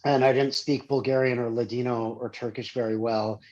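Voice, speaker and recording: An American man chats casually over a video call, laughing at himself as he goes. His talk wanders, with frequent fillers and restarts. His voice sits at an ordinary middle pitch. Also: steady tone, a little fast, a little mellow, muffled recording. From Pittsburgh, United States. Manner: rambling, self-deprecating, filler-heavy talk. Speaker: chef and cookbook author.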